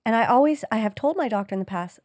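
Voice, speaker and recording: Animated oversharing woman podcast host. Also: a little high, fast talker, dry recording, studio quality. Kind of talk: casual chat.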